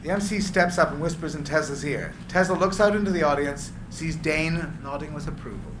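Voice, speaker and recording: A man's voice: a little high, a little fast. Man narrating a screenplay in echoey room. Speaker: narrator of stage directions. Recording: echoey room, clear background noise.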